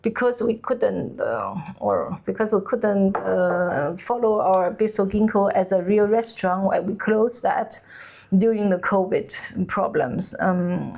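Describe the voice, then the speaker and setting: A woman whose first language is Chinese, a cook co-hosting a casual chat, talking haltingly as she searches for the right English words. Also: medium pitch, vocal fry, darkish tone, slight background noise. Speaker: cook and channel co-host. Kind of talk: casual conversation.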